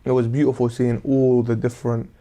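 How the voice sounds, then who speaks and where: A man recapping the events of a tour in a measured, storytelling way. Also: medium pitch, level tone, mellow. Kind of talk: interview recap.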